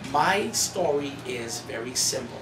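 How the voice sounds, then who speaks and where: A man's voice: mid-range voice, measured pace, music in the background. Bahamian-born man sharing his testimony. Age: 30s. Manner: earnest storytelling, rising to animated emphasis. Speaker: author and pastor. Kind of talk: personal testimony at a bookstore event. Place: coffee cafe in a shopping mall. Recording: roomy, distant, noisy cafe recording.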